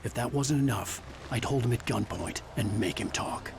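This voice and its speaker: A man's voice: medium pitch, normal speed, gravelly, background noise, close to an everyday voice. A man playing a desperate thriller writer hunting for his kidnapped wife, brooding and weary, in a scripted video game performance. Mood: tense, grim. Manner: brooding, weary first-person narration. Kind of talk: scripted game narration and acted dialogue.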